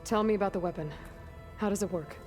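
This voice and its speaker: An actress playing a guarded, headstrong young heroine in a video game, talking in a blunt, wry, probing way. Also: female voice, medium pitch, quick pace, a little mellow, lightly acted. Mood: probing, skeptical. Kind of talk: video game dialogue acting. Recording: faint music behind the voice.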